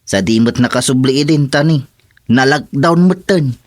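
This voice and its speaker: A male actor plays a hard-up, resigned village farmer. He speaks with an Ilocano accent at an ordinary male pitch. The sound is dry and close, in the style of radio comedy drama. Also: moderate pace, dry recording, natural voice. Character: plain rural man, hard-up farmer and fisher, resigned.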